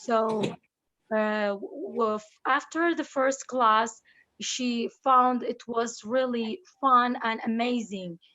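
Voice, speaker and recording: A woman teacher and parent talking casually in an online meeting, halting and searching for words. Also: fairly high pitch, pushed and bright. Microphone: video call. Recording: lo-fi video call audio.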